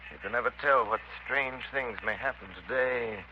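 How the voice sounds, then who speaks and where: Polished, knowing sleuth, played by a man, measured manner, vintage radio mystery, dull sound with faint hiss. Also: medium pitch, close to an everyday voice. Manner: urbane, composed. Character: suave, thoughtful gentleman sleuth who believes in the uncanny. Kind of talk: 1930s radio drama acting. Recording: muffled, hissy 1930s radio broadcast.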